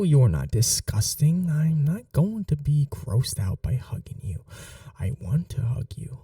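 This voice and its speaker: A man playing an obsessive, doting kidnapper in an intimate ASMR roleplay, speaking softly and breathily with a halting, coaxing tenderness. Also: on the high side, no echo, clean studio sound, far from the mic, exaggerated voice. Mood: tender, coaxing. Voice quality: soft, breathy, dark. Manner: gentle, halting, coaxing reassurance. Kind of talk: intimate ASMR roleplay, often near whispering.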